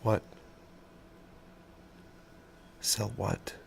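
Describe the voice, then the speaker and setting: An actor playing a hesitant, tender younger man in a secret affair, with an American accent and halting, intimate delivery in an audio drama. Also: a little hiss or hum, close to an everyday voice. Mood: anxious, conspiratorial. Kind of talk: naturalistic noir audio drama dialogue.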